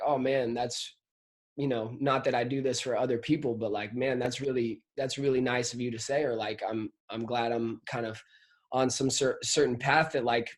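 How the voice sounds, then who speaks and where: Slangy young guy chatting casually. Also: medium pitch. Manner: slangy, says "man" and "like" constantly. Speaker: host of a drummers' chat show. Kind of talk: casual interview chat.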